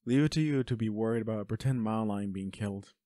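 A man's voice: lowish voice, fast talker, dark tone, no room sound, clean studio sound, lightly acted. A man playing an anguished, protective werewolf boyfriend in an audio roleplay, speaking with urgent, pleading emotion. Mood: reluctant, soothing. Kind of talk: intimate dramatic roleplay acting.

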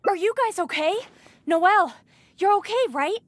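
A woman playing a dutiful, concerned young female knight on patrol in a video game, speaking briskly. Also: very high voice, lively intonation, no room sound.

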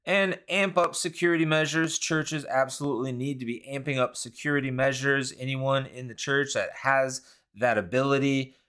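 A man's voice: medium pitch, fairly even intonation, a bit bright, clear, resonant tone. A man in his forties giving earnest commentary as a podcast host, speaking into a studio mic in a home study. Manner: earnest, with frequent fillers and quick asides. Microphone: studio mic on boom arm.

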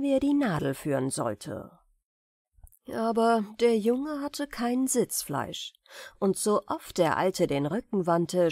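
Slow, dry female fairy-tale narrator. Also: fairly low pitch, a little mellow, dry recording. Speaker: audiobook narrator. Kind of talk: scripted fairy-tale narration, bedtime storytelling.

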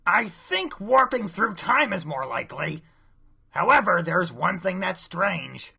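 A man voicing a brash, hot-headed crocodile gang leader with a gravelly, bossy, blustering delivery for a cartoon. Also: high-pitched, sing-song, unhurried, sharp tone, voice pushed up, heavily acted. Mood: gruff, matter-of-fact.

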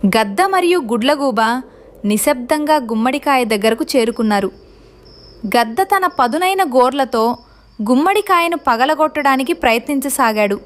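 High female pitch, narrator, scripted delivery, children's storytelling. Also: expressive, moderate pace.

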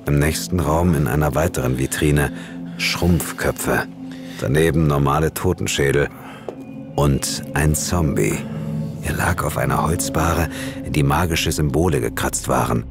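Very deep-voiced male investigator narrator. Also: a little slow. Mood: composed suspense. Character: male investigator recounting his eerie case, tense and composed. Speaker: narrator and lead character of a horror audio drama. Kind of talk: scripted first-person horror narration. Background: music.

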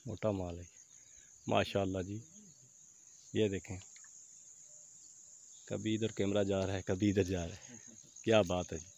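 A young man filming a fishing trip on a lakeshore, giving excited running commentary. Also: low voice, measured pace, long pauses. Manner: excited, repeats praise exclamations. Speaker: fishing vlogger. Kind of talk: casual outdoor commentary. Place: lakeshore outdoors.

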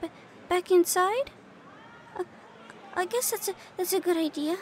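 A woman's voice: very high voice, unhurried, slight background noise. A woman voicing a timid, naive young spider girl in an audio roleplay, stammering and flustered. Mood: nervous.

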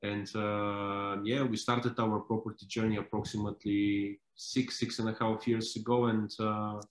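A man's voice: on the low side, level tone, measured pace, roomy sound. A man in his thirties, originally from Bulgaria, speaking as a company founder in a video call interview, hesitant with many fillers and restarts. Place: home room indoors.

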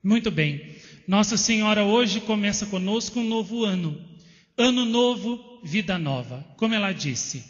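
A Brazilian man in his thirties giving a slow, earnest devotional talk to pilgrims in a shrine chapel, pausing on "eh" and "né". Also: high-pitched, expressive. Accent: Brazilian Portuguese. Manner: slow, earnest, fills pauses with "eh" and "né". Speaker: visionary addressing pilgrims. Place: indoor shrine chapel with statues. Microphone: handheld microphone. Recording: reverberant, dull lo-fi video sound.

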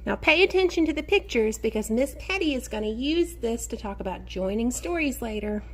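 A woman teacher reading a picture book aloud to young children in an expressive sing-song way, encouraging them and asking them questions. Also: on the high side, husky. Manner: expressive, sing-song, drawn-out key words; encouraging, addresses children directly.

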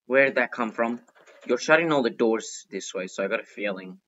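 A man giving excitable, chatty gameplay commentary as a gaming video host, talking to himself and often repeating phrases. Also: lowish voice, fairly quick, a little hiss or hum. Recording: dull, lo-fi microphone.

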